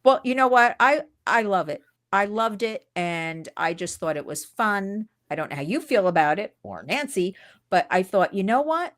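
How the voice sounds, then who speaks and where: Gushing, animated New woman host. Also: mid-range voice, moderate pace. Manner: animated, gushing, laughs often. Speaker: podcast host. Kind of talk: enthusiastic interview chat.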